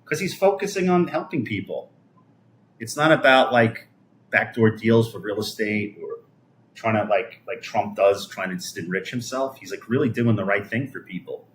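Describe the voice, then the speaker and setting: A man's voice: on the low side, expressive, moderate pace, a little hiss or hum. A man, chatting casually on a livestream in a rambling, conversational way that often trails off. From New York City, USA. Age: 50s. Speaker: livestream host. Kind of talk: casual livestream chat, answering viewer comments.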